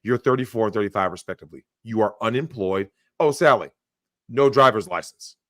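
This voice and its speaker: A man's voice: lowish voice, brisk, a bit bright, dry recording, studio quality. A man giving blunt opinions on a livestream, talking emphatically, swearing and acting out the people he quotes. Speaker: guest.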